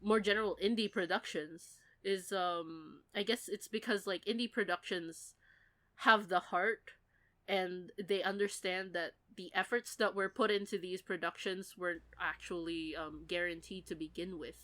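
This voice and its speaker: A woman's voice: lowish voice, fairly even intonation, normal speed, pushed and bright. A woman who is sick, chatting casually as a podcast co-host. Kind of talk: casual chat.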